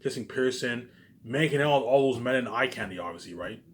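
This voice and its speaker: A man's voice: medium pitch, expressive, brisk. A man giving an informal, rambling monologue to viewers, agitated and emphatic, swearing and repeating himself, often trailing off at the ends of phrases.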